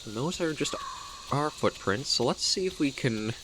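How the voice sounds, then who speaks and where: A man's voice: medium pitch, animated, moderate pace, noisy background. A man with a Canadian accent who is coming down with a cold, giving casual, rambling gameplay commentary as he thinks aloud. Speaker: gaming video host.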